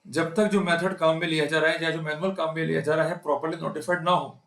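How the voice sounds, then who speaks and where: A man's voice: fairly high pitch, little pitch movement, quick pace, gravelly, fairly bright tone. A man with an Indian accent giving an explanatory law lecture, speaking emphatically and addressing his listeners directly. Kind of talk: explanatory law lecture to camera, Hindi mixed with English. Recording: echoey, reverberant room.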